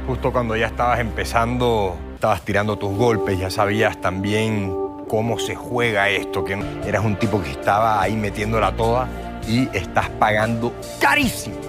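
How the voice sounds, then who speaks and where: A man with a fairly low voice gives informal verdicts as a cooking show judge. Loud music runs behind his words. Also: normal speed. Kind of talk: informal judging remarks.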